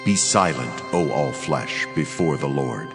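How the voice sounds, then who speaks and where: A man playing a solemn, commanding divine voice in a dramatized scripture reading, declaiming gravely. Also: rumbling low, lively intonation, a little slow, heavily acted. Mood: solemn, majestic. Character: solemn, commanding divine voice of God and his angel. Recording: long reverb, music bed underneath.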